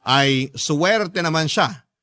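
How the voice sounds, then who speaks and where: A man's voice: fairly high pitch, lively intonation, slow, pushed and bright, no echo, studio quality, heavily acted. A man with a Filipino accent playing a devoted grown child who narrates a life story in a radio drama, earnest and sentimental. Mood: earnest, proud. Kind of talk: scripted first-person narration in radio drama.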